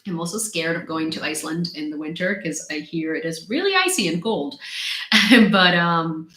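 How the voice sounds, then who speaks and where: A young woman from Ukraine with a Ukrainian accent, casually chatting on a livestream and reading viewer comments, laughing often and filling pauses with ums. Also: fairly low pitch, animated, sharp tone. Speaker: livestream host. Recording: roomy echo.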